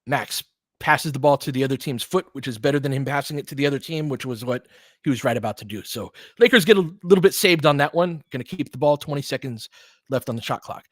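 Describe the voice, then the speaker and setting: A man's voice: medium pitch, quick pace, no echo, studio quality. A man doing live basketball commentary as host, conversational and analytical, thinking aloud as he goes.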